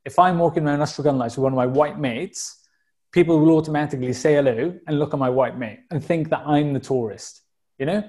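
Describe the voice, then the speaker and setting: Welsh guest in his thirties, warm relaxed tone, reflective and chatty. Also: on the high side, a little fast. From South Wales. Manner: open and reflective, frequent fillers and restarts. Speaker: podcast guest. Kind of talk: casual conversational interview.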